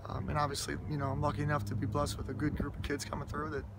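A man, a high school football coach giving earnest, humble interview answers outdoors beside a school, full of fillers and restarts. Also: completely flat delivery, rapid-fire, gravelly. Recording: outdoor noise and passing traffic.

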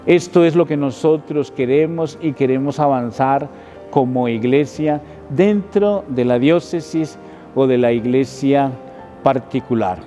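An older man with a Colombian Spanish accent, a parish priest and friar, speaking in a measured, solemn way inside a church. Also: medium pitch, dark tone, soft background music. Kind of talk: welcoming address to camera. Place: inside a church, before the altar. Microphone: close camera interview framing.

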